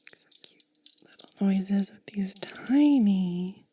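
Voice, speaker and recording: A woman doing soft-spoken ASMR, mostly whispering in a gentle, breathy, casual way as she narrates a hands-on demonstration close to the mic. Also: medium pitch. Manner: gentle, unhurried, casual commentary. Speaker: ASMR video creator and host. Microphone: close mic, dry.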